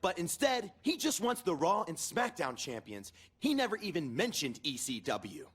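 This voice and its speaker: A cocky, mocking young wrestler in a video game promo. His voice is high and rough-edged, bouncing around in pitch as he delivers sarcastic trash talk. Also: sing-song, normal speed, fairly bright tone, exaggerated voice.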